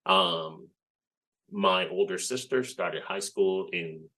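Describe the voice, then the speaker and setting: Man, 40s, Spanish speaker, very low voice, reflective, casual interview guest. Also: sharp tone. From Puerto Rico. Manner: reflective, unhurried storytelling with frequent "you know". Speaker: guest, game developer.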